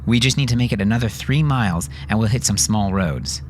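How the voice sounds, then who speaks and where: A man's voice: lowish voice, fairly quick, slight background noise, pitched above the performer's own voice, close to an everyday voice. A man voicing a tough, terse woman on the run, pressing and commanding, in an audio story. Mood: steadying. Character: Miranda, tough urgent armed woman on the run.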